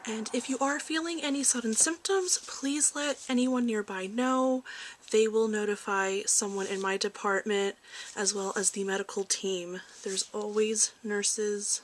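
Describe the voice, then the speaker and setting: A soft-spoken woman who often slips into a whisper, playing a gentle, reassuring doctor in an ASMR medical exam roleplay. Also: mid-range voice, steady tone, a little slow. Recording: clearly noisy amateur recording.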